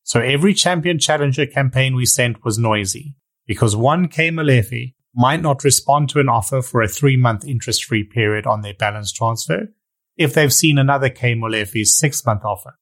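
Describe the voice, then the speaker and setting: A South African man hosting a podcast, with a voice of middling pitch. He lays out his points in a measured, explanatory way, like someone walking the listener through a story. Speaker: podcast host. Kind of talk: scripted intro and interview questions.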